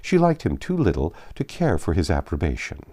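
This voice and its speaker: A man's voice: deep voice, wildly swooping pitch, a little fast, dark tone. A man reading classic fiction aloud as a narrator. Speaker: audiobook narrator. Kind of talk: scripted literary narration.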